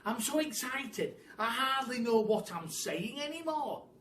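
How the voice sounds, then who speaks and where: A man's voice: extremely high pitch, sing-song, unhurried, a bit bright, voice pushed up, heavily acted. A man voicing an eager, bossy monkey leader in a children's storybook, giving urgent instructions. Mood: apologetic, excited. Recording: roomy, distant mic, faint hiss.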